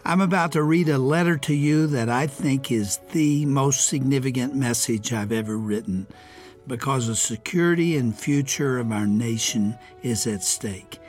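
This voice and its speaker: An older man from the United States, hosting a radio program, reading a prepared letter aloud. His voice is raspy, and his speech is a bit strained and unclear. He reads gravely and deliberately, stressing each item in his lists. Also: medium pitch, darkish tone, faint music behind.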